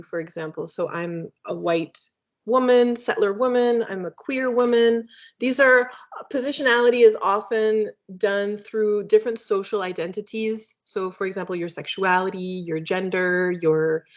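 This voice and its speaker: A woman presenting in a webinar, explaining ideas thoughtfully with frequent fillers and pauses. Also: mid-range voice. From Canada. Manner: thoughtful, hesitant, many fillers. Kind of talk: academic webinar talk. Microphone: video call. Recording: muffled lo-fi video call audio.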